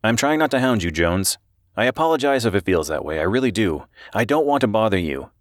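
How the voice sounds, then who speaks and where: Guy doing an anxious reporter in an audiobook, higher voice, hurried and apologetic, super dry sound. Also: big pitch swings, dry recording. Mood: nervous, apologetic. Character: nervous, pleading male newspaper reporter on a phone call. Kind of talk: audiobook character dialogue.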